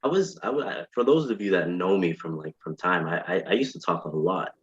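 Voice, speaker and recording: A man from Ethiopia telling lively stories as a podcast guest, mimicking others in high voices and laughing. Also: a little fast, muffled recording, a little hiss or hum. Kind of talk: animated storytelling chat.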